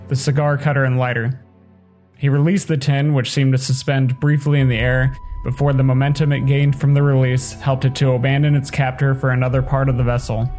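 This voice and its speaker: Low-toned man narrating over faint music. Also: a little mellow. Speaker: author reading own short story. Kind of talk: scripted story narration.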